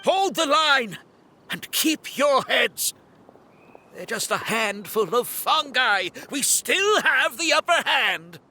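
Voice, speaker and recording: Shrill, sharp scheming villain. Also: male voice, sing-song, slow pace, slight background noise, exaggerated voice. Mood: desperate, indignant. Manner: theatrical, exclamatory. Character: shrill scheming male villain, haughty, easily flustered. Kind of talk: English game dub voice acting.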